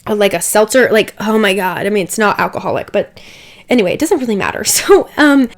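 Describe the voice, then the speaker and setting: A woman's voice: medium pitch, lively intonation, brisk. A young woman getting over a cold, her voice a little stuffy and dry, chatting casually over a game build with many asides and "like"s. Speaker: Sims build creator.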